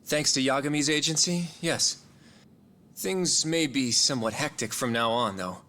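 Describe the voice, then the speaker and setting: Casting: male actor as earnest, courteous young lawyer in a game drama, crisp bright tone, mid pitch. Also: moderate pace, lightly acted. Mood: measured. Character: polite, earnest male junior attorney. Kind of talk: video game cutscene dialogue.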